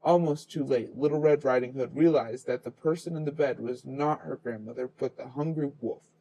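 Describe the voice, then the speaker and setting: A man's voice: medium pitch, moderate pace, mellow, poor audio. A man reading a children's fairy tale aloud as the storyteller.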